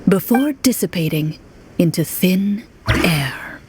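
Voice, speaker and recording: A woman playing a composed, formal young huntress who narrates as game master in a video game, with measured storytelling. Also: on the low side, lively intonation, background noise. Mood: dramatic. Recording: music behind the voice.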